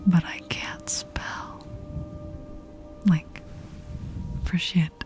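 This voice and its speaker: A woman streaming ASMR, chatting casually in a soft, breathy, often whispered voice with a relaxed, intimate manner, close on the mic. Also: lowish voice. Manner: relaxed, slow, intimate murmur. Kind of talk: ASMR, casual chat with live viewers. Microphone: close ASMR microphone. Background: music.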